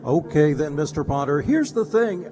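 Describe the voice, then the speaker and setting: Radio play father: male actor as kindly, worn businessman, highish expressive voice, hall reverb. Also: moderate pace, natural voice. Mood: pleading. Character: weary, kindly small-town father, principled businessman. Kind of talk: stage radio play acting. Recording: hall reverb, faint music.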